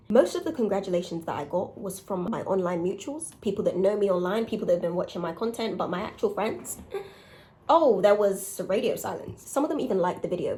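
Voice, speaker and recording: A young woman with a raspy voice, talking candidly and conversationally in a casual solo confessional, with emphatic points. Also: mid-range voice, dark tone. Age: young adult. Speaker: podcast host and content creator.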